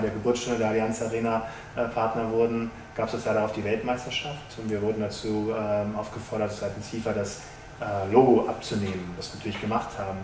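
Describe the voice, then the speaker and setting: A man in his thirties, a sponsorship executive, giving measured businesslike interview answers with frequent hesitations, in a stadium lounge. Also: fairly low pitch, darkish tone. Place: stadium lounge with glass walls, indoors. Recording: roomy, distant mic, faint hiss.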